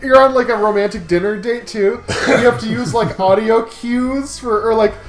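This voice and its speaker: A woman's voice: on the high side, moderate pace, echoey room. A woman in casual, playful and crude banter as co-host of a game playthrough.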